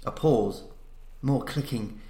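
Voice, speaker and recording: A man narrates gothic horror in a mid-pitched voice, in a roomy, echoing recording. His delivery is theatrical and solemn, built for suspense like a dramatic audiobook reading. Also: takes it slowly, dark tone. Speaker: narrator, podcast reader.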